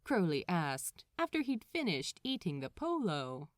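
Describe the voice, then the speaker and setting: A woman reading a story aloud as an expressive scripted narrator. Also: on the low side, a little slow, dry recording, clean studio sound. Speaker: fan fiction podfic narrator.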